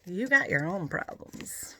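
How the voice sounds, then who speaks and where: Woman as a cool, level-headed schoolboy, bright-toned. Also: fairly low pitch, very animated, slow pace, no room sound, exaggerated voice. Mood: chiding.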